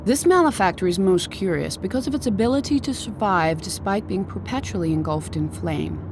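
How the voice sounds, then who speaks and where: Actress as composed female researcher, low voice, measured clinical narration, horror game, loud background noise. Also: lightly acted. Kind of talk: scripted narration.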